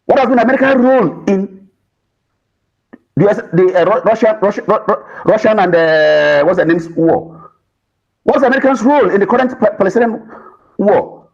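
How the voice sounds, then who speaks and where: A man's voice: sits high, animated, moderate pace, vocal fry, pauses often, muffled recording. A Nigerian man arguing as a guest on a political panel, emphatic and repetitive, with rhetorical questions.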